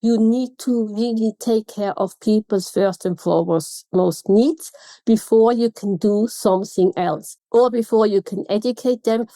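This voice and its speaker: A woman's voice: medium pitch, unhurried, warm tone, dry recording. A middle-aged woman from Switzerland with a Swiss German accent, speaking emphatically as a consultant guest in a podcast interview, often repeating words for stress.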